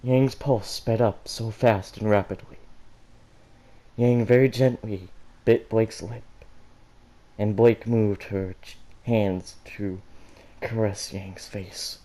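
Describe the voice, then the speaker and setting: A man's voice: fairly low pitch, fairly even intonation, takes it slowly, long pauses, mellow, low-quality recording. A man reading fan fiction aloud as amateur storybook narration, stumbling and correcting himself as he goes. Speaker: fan fiction reader for a gaming channel.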